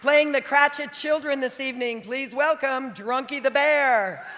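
High-voiced woman announcing cast onstage. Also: measured pace. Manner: calls out names with emphasis. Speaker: stage announcer introducing the cast. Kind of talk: live event announcing. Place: large theater stage before a big audience. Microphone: podium mic on stand. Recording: echoey hall, audience noise. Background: crowd cheering.

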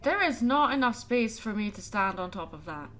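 Bright-voiced woman playing a game. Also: medium pitch, lively intonation, moderate pace, a little hiss or hum. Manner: chatty, easily startled, exclaims at the game. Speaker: let's play host. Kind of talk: casual gameplay chat.

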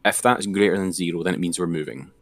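A man's voice: sits low, fast, dry recording. A man giving an informal, step-by-step screen-share tutorial as an instructor.

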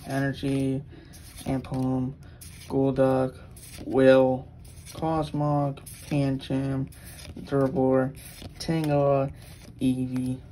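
A man's voice: mid-range voice, monotone, mellow, a little hiss or hum, poor audio. A young man casually listing trading cards as he flips through packs, eager for a good pull. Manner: rattles off card names in a quick list, eager. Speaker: channel co-host. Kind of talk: casual card-opening chat.

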